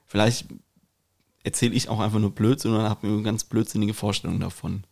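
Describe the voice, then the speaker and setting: Ein Mann, der als Podcast-Host locker, abschweifend und selbstironisch plaudert. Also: male voice, fairly low pitch, steady tone, brisk, creaky, darkish tone. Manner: rambling, digressive, self-deprecating. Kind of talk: casual podcast chat.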